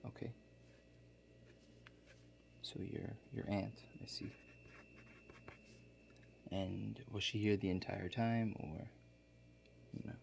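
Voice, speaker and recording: A man playing a laid-back construction contractor in a soft-spoken ASMR role-play, talking in a halting, matter-of-fact way. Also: fairly low pitch, fairly even intonation, gravelly, exaggerated voice. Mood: quietly inquisitive. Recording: faint background noise.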